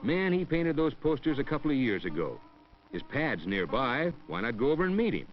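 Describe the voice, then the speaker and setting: Man narrating a 60s educational film, lively delivery, muffled old soundtrack with some music. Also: medium pitch, fairly quick. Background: background music.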